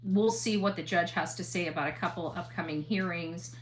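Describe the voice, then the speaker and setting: A woman speaking earnestly to an audience as a commentator, halting and emphatic, repeating phrases to press her point. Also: lowish voice, a little hiss or hum, low-quality recording. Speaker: interviewee, online true crime commentator.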